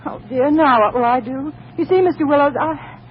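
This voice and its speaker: A woman's voice: high voice, a little fast, lightly acted. An actress playing a pleading, sweetly scheming young lady seeking office work in a 1940s radio comedy, on a muffled, noisy recording. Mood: pleading, coaxing. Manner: pleading, flustered, repeats herself.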